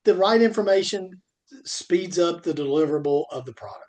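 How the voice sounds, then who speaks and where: A man in casual podcast conversation about his trade, talking in a halting, emphatic way and often repeating himself. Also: on the high side, expressive, darkish tone. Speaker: podcast co-host. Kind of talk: conversational industry podcast talk.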